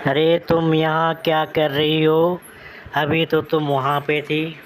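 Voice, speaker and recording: A woman acts out a puzzled young man in a spooky story. The voice is lowish and unhurried, uneasy as it questions. Faint noise sits behind it. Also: flat delivery.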